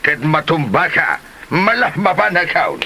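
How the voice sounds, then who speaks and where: A man's voice: a little high, very animated, unhurried, bright, forceful tone, a little hiss or hum, heavily acted. A man with an Ilocano Filipino accent playing a grumpy, disapproving old father in a comedy drama, scolding and indignant.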